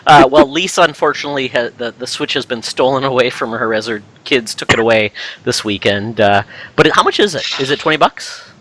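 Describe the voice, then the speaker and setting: A man hosting a podcast with casual, jokey banter, quick to be amused. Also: mid-range voice, fairly bright tone, rough recording. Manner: jokey, laughs easily. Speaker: podcast host.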